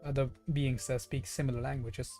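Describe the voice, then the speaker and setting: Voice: medium pitch, level tone, a little fast, dark tone. Game streamer musing aloud over music. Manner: musing, speculative, thinking aloud. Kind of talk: casual live gaming commentary.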